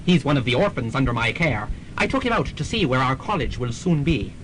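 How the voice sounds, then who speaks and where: A man's voice: mid-range voice, very animated, fairly quick, fairly bright tone. Man as a grandiose, swooping pious priest. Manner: preachy, florid, repeats himself. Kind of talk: melodramatic radio drama acting. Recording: noisy amateur student radio recording.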